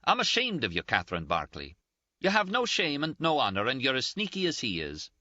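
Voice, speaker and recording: A man voicing a tearful, scolding young Scottish nurse, shrill and sobbing in an audiobook. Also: huge pitch swings, fairly quick, bright, forceful tone, no room sound, highs cut off. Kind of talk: audiobook character voice.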